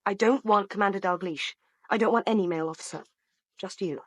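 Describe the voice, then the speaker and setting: An actress plays a guarded woman, a witness in a radio drama. She is insistent and clipped, in a medium-pitched voice recorded close and dry. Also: fairly quick, dry recording, close to an everyday voice. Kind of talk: British radio drama dialogue.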